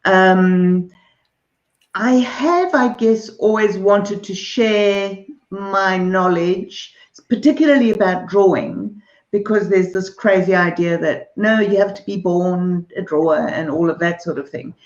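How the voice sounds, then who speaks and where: A woman from Zimbabwe and South Africa with a South African accent, a guest answering interview questions in a relaxed, thoughtful way with many hesitations. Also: medium pitch, takes it slowly. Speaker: artist and author, interview guest. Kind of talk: casual video-call interview. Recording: dull lo-fi video call in a roomy space.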